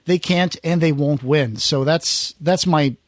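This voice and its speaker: A man's voice: a little high, unhurried, no echo, clean studio sound. A man hosting a podcast, chatting casually and reading out listener comments. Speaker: podcast host.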